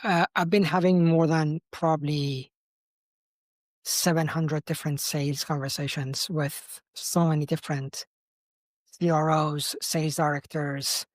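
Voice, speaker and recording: A man's voice: a little high, little pitch movement, a little slow, no echo. Iranian-accented man, enthusiastic founder guest. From Iran. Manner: enthusiastic, frequent restarts and fillers. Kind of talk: podcast interview.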